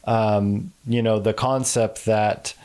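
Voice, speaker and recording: A man hosts a conversational podcast interview in a somewhat low voice. He talks haltingly, restarting phrases and filling pauses while working toward his questions. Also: fairly even intonation, darkish tone. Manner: halting, with many fillers and restarts. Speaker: podcast host.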